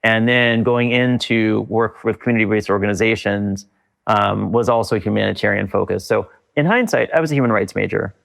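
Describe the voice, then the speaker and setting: Middle-aged guy on a podcast, low gravelly voice, lots of ums and restarts. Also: steady tone, a bit bright. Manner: thoughtful, pauses with many fillers and restarts. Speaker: guest, leadership consultant. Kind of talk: reflective conversational interview.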